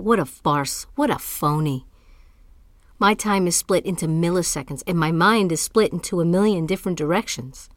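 A woman's voice: lowish voice, a bit bright, no room sound, clean studio sound. An actress playing a tormented, self-doubting woman artist in a theatrical, poetic spoken-word monologue. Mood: weary, bitter. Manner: dramatic, poetic, deliberate.

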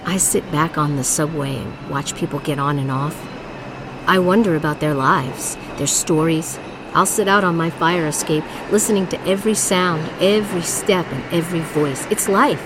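A woman's voice: low-pitched, background noise, close to an everyday voice. An actress playing a tormented, self-doubting woman artist in a theatrical, poetic spoken-word monologue. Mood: reflective. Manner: dramatic, poetic, deliberate.

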